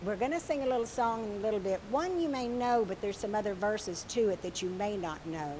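A woman teaching a children's church lesson with a raspy voice, telling a story expressively with questions to the children. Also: medium pitch, lively intonation, brisk, a little mellow, slight background noise, poor audio.

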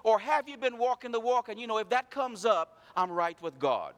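Man, pastor preaching, high animated voice, questioning the congregation, echoing hall. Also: normal speed. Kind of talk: sermon.

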